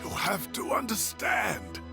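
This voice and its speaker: An actor playing a weary but defiant old scholar in a video game, with a gravelly, creaky voice and a slow, earnest delivery. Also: male voice, sits high, huge pitch swings, heavily acted. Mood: protective, defiant. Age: older. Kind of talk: dramatic video game voice acting. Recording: loud music behind the voice. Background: background music.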